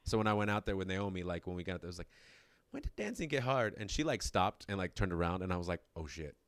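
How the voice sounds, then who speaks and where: A man's voice: deep voice, very animated, fast talker, no room sound. A man in his thirties co-hosting a casual podcast chat, rambling and restarting his sentences, often laughing. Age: 30s. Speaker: podcast co-host.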